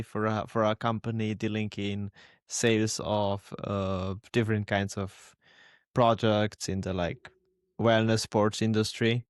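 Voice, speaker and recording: A man's voice: lowish voice, smooth, no echo, studio quality. A young man from Slovenia co-hosting a casual podcast, laid-back and chuckling often. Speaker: podcast co-host. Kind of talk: casual chat.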